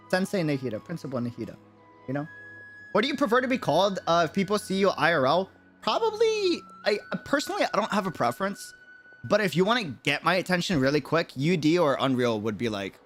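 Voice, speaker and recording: A man's voice: on the high side, fairly bright tone. A young American man reacting casually as a game streamer, slangy and emphatic, often repeating himself for effect. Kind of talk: casual livestream reaction commentary.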